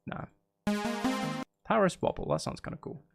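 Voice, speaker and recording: A man streaming casually, thinking aloud and repeating phrases to himself as he works. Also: normal speed. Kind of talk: casual live-stream commentary while making music. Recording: loud music behind the voice. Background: music being composed, guitar and instruments.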